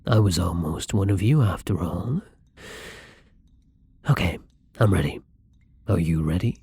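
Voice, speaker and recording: A very husky, breathy vampire acted by a man, newly turned and tender, in an intimate ASMR roleplay. The delivery is slow and halting, with gravelly fry at the edges and soft drops into whispering. The temper is gentle, careful and unsure. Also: low-pitched, no room sound, heavily acted. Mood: nervous, gentle.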